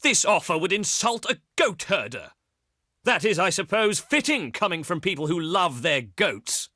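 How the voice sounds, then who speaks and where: Video game envoy of ancient Greece: haughty, theatrical, higher-set bright voice with sweeping intonation, pompous and slyly menacing. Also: male voice, sing-song, no echo, studio quality, full character voice. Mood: scornful. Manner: pompous, oratorical, deliberate phrasing. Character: haughty ancient Greek diplomat, pious and slyly threatening. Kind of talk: theatrical scripted game dialogue.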